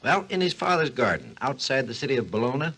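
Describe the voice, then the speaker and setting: A man's voice: medium pitch, big pitch swings, fast talker, a bit bright, exaggerated voice. A man playing a learned, patient scientist who explains history in a scripted 1940s educational radio program. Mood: storytelling. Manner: didactic, storytelling delivery. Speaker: expert guest on a science program. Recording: husky-sounding 1940s radio recording.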